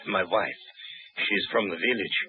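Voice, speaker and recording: A man's voice: deep voice, unhurried. An actor playing a frightened, sick male prisoner in a 1950s radio drama, speaking hesitantly and stammering. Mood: worried. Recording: tinny old radio broadcast with music.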